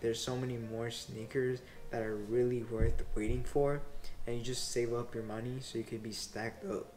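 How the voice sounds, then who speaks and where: Laid-back young man talking sneakers. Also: mid-range voice. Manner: laid-back slang, fillers like "like" and "bro". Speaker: sneaker resale vlogger. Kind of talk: casual to-camera talk. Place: small indoor room in front of window blinds.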